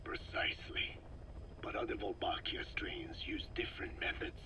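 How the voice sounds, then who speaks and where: An actor playing an elderly, erudite parasite scientist in a video game, speaking in a breathy rasping whisper with a slow, deliberate lecturing manner. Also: male voice, close to an everyday voice, rough recording. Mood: grave, lecturing. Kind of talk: dramatic video game voice acting.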